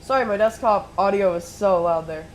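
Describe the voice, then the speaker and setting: A man's voice: sits high, moderate pace, noisy background. A congested young man, sick with a throat infection, streaming from home and chatting through each step of a flight simulator session at his desk mic. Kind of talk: casual live play-by-play.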